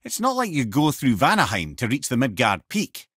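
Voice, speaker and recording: An actor playing a wry old sage in a video game, an eloquent, theatrical storyteller with a Scottish accent. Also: male voice, mid-range voice, huge pitch swings, moderate pace, a bit bright, husky, dry recording, studio quality, full character voice. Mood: measured storytelling.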